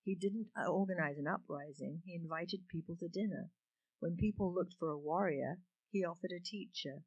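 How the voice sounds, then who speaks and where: A man's voice: high-pitched, moderate pace, dry recording, muffled recording. A man reading a script plainly and unhurriedly, with a small slip now and then. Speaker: reader.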